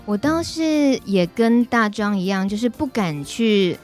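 A female radio host with a Taiwanese Mandarin accent speaks in a middle register. She leads a conversational discussion and often turns to her co-host, with quiet music underneath. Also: mid-range voice, animated, measured pace. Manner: guides the talk, checking in with co-host. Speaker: radio talk show host. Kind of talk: conversational commentary.

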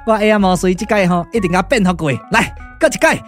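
Man playing comic younger-brother trickster, Taiwanese accent, excitable, exclamatory, boastful, glove-puppet radio drama, light background music. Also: sits high, quick pace, close to an everyday voice. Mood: flustered. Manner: chatty, exclamatory, full of interjections.

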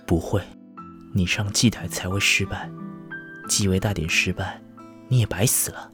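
A man's voice: low voice, steady tone, normal speed, over music, natural voice. An actor playing a vengeful, scheming man in a Mandarin audio drama, controlled and menacing in delivery. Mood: hushed, urgent persuasion. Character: vengeful man, calm schemer turned gleeful killer.

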